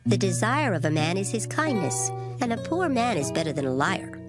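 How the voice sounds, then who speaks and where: A woman giving a dramatized Bible reading with solemn, measured delivery. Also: mid-range voice, sing-song. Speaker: scripture reader. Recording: prominent guitar music behind voice. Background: plucked string music.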